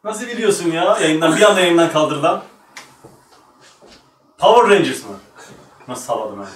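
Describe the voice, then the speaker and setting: A man's voice: fairly high pitch, expressive, moderate pace. A man in his thirties bantering casually as a quiz show host, teasing and exclaiming, at a table in a small studio. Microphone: shared table microphone. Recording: roomy, distant mic, faint noise.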